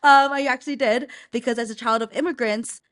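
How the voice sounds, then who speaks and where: A woman's voice: on the high side, fairly even intonation, brisk, fairly bright tone, no echo, clean studio sound. A middle-aged woman from the United States, a real estate broker who is the guest on an interview show, talking candidly in a chatty way with frequent fillers. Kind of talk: casual interview talk.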